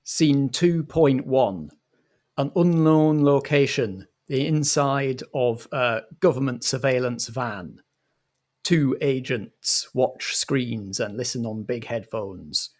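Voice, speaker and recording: A man narrating scenes as the director of a comedic audio roleplay, in a measured storytelling style. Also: medium pitch, a little mellow.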